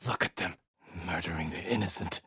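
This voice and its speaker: Low, husky stoic samurai. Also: male voice, bright, forceful tone, no room sound, clean studio sound, exaggerated voice. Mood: hushed, grave. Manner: measured, solemn, clipped short sentences. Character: honourable, stoic samurai warrior, grimly resolute leader. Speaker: video game lead character. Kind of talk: dramatic video game voice acting.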